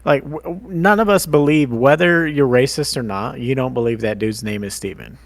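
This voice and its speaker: A man's voice: medium pitch, moderate pace, creaky. Man joking and swearing in casual chat. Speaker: podcast co-host.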